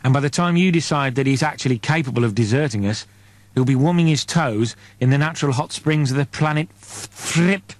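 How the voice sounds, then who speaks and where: A man's voice: medium pitch, a little fast, no echo, natural voice. A bitter crewman on a spaceship, acted out by a male audiobook reader. He is exasperated and crude, with scathing sarcasm. The sound is dry and close. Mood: sarcastic. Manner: scathing, sardonic.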